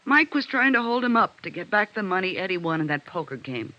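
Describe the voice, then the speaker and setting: A woman's voice: lowish voice, sing-song, brisk. An actress playing a scared young nightclub showgirl, by turns defiant and pleading, in 1950s radio drama style. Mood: scared, defensive. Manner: urgent, pleading. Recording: dull vintage radio recording.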